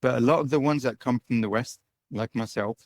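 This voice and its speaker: A man's voice: medium pitch, brisk, a little mellow, dry recording, clean studio sound. Man answering interview questions, chatty. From United Kingdom. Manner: chatty, tags points with "right?" and "you know". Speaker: guest, digital nomad and business owner.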